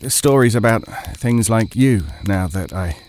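A proud, chatty camper played by a man tells a first-person campfire tale. His voice is on the low side, in an intimate storytelling style. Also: lively intonation, a little slow, darkish tone, a little hiss or hum. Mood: confiding, wry.

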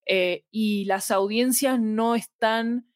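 A woman's voice: fairly high pitch, fairly even intonation, takes it slowly, no echo, studio quality. A woman with a Rioplatense accent, an interview guest talking casually and reflectively, thinking aloud in colloquial language. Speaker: podcast producer, interview guest.

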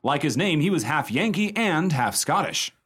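A man's voice: on the high side, sing-song, moderate pace, a bit bright, husky, exaggerated voice. An actor playing a jovial, wry American man spinning tales for friends in a radio play, playfully theatrical. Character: wry, jovial American male raconteur telling friends a ghost story.

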